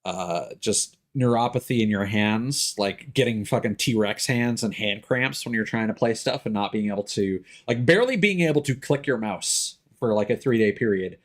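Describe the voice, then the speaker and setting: A man's voice: fairly low pitch, lively intonation, moderate pace, hardly any pauses. A man working as a game streamer, chatting casually and explaining things in an informal way with some swearing. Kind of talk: casual livestream chat.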